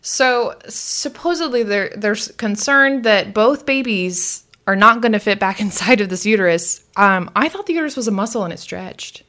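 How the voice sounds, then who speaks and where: A woman's voice: medium pitch, moderate pace, few pauses. Woman giving a wry, casual recap. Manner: wry, animated retelling with questioning asides. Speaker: podcast co-host. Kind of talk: casual conversational recap and commentary.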